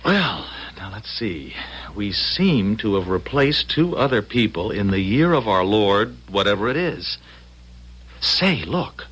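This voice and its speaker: A man's voice: lowish voice, sing-song, takes it slowly, fairly bright tone, breathy edge, heavily acted, low-quality recording. An actor playing an eager, wry male time traveler in an old-time radio drama, with affectionate banter. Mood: eager, curious.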